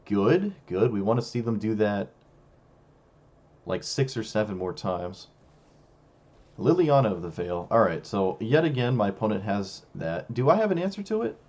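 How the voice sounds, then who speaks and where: Young male streamer, low voice, hoarse and fading, muttering self-critical game commentary on a dull lo-fi mic. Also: expressive, moderate pace, darkish tone. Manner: musing, self-critical, talks to himself. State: losing his voice, hoarse. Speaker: streamer playing a card game. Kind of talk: casual gameplay commentary.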